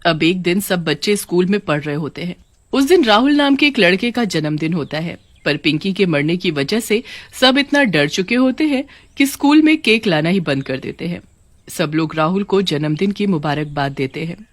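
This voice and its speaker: A woman narrating a scripted cartoon horror story in an even, storybook manner. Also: fairly low pitch, moderate pace, no room sound.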